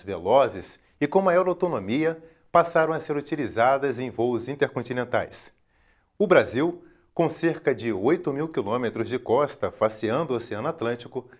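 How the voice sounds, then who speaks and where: A man with a Brazilian accent reading scripted educational documentary narration in a formal, measured, carefully enunciated way. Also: medium pitch.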